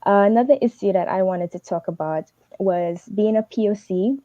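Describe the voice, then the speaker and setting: Woman giving quick earnest advice. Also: medium pitch, warm tone, no echo, muffled recording. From Trinidad and Tobago. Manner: fast, earnest, advising directly. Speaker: English teaching assistant. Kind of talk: livestream advice talk.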